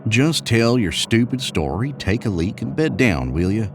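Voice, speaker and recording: A man's voice: lowish voice, big pitch swings, normal speed, pitched above the performer's own voice. A man playing a young, cocky American cowboy in an audio horror story, irritable and exasperated. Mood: irritated.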